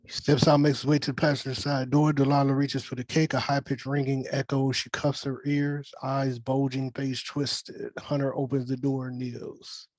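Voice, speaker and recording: A man's voice: mid-range voice, level tone, normal speed, few pauses, darkish tone, dry recording, rough recording. A man acting as narrator and director of a script read, reading stage directions aloud.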